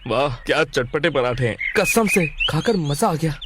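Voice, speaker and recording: An actor playing earnest, emphatic everyday men in a cartoon moral story. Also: male voice, fairly high pitch, lively intonation, a little slow, background noise. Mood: appreciative. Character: appreciative male customers and concerned husband, everyday men.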